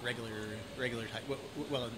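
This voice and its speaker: A man in his forties from Idaho with an American accent, chatting casually as an interviewer in a halting, rambling way. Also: medium pitch, steady tone. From Idaho, United States. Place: café or restaurant at night. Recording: clearly noisy room ambience.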